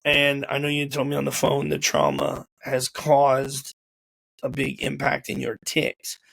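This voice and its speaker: A young man with a gravelly, creaky voice leads a relaxed interview in a loose, conversational way. He often restarts and repeats words while he works out his questions. His pitch sits in the middle of the male range. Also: measured pace, rough recording. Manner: informal, repeats words as he thinks. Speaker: host. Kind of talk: casual interview chat. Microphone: video call or home camera.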